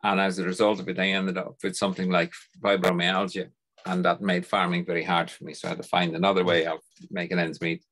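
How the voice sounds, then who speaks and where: A man's voice: low-pitched, little pitch movement, fairly bright tone. A middle-aged man from the Netherlands with a Dutch accent, speaking thoughtfully as an interview guest over a video call from a home study. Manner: thoughtful, unhurried, repeats words as he starts sentences. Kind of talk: conversational interview. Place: home study lined with bookshelves.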